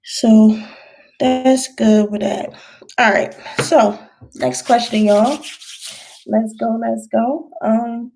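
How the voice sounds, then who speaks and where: A woman's voice: a little high, steady tone, takes it slowly, creaky, long pauses, darkish tone, rough recording. A thirty-year-old woman vlogger answering viewer questions in a loose, rambling, encouraging way, with slang. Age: 30s. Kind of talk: casual chat.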